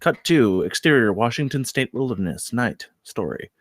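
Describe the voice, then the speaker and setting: A man reading screenplay stage directions aloud as narrator, with deliberate dramatic emphasis. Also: medium pitch, animated, no echo, studio quality.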